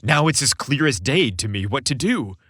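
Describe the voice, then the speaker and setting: A man voicing a brainy young boyfriend in a romantic audio story, wry, excitable and bantering. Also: medium pitch, moderate pace, breathy edge, no echo, clean studio sound. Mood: excited.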